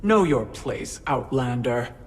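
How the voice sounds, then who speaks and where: An actor playing a stern, proud warrior ally who speaks in curt commands in a video game cutscene. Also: male voice, medium pitch, expressive, takes it slowly, background noise. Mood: commanding.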